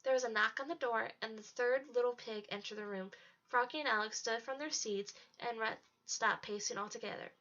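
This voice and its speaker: A woman's voice: a little high, a little fast, bright, forceful tone. A woman narrating a storybook as a read-aloud. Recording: dull lo-fi home recording.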